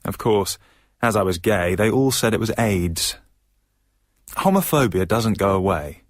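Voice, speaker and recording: A fairly low-voiced man in a dry studio sound. He plays a wry comedian looking back, measured and reflective, in a radio drama. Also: expressive, no echo.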